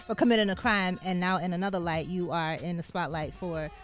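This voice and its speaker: Woman co-host, lowish voice, easygoing questions, some music behind. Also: a little fast. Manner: relaxed, short backchannels. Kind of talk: casual interview questions.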